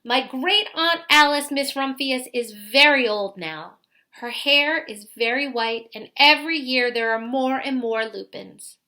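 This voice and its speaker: High, bright-voiced woman reading a storybook. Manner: pauses to ask young listeners questions. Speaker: teacher reading a picture book aloud. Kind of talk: expressive storybook read-aloud for children.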